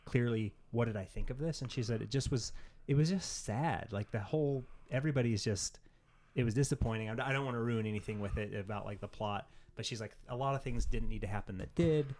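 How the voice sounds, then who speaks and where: A man's voice: medium pitch, fairly quick, darkish tone. A man co-hosting a podcast in casual, rambling chat, often dropping "like" into his sentences. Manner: rambling, frequently says "like". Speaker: podcast co-host. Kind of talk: casual chat about games and pop culture.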